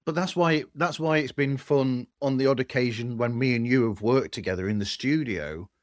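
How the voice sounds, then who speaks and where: A man's voice: mid-range voice, moderate pace, no echo. A man hosts a casual podcast chat. His voice sits in the middle of the male range, recorded very dry and close with little room sound. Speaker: podcast host.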